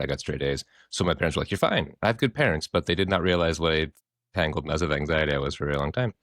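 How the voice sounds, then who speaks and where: Fast-talking deep-voiced hoarse man. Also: dry recording, studio quality. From American Midwest. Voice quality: hoarse from screaming. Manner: expressive, rambling, swears freely. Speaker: therapist and podcast co-host. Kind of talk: casual podcast chat.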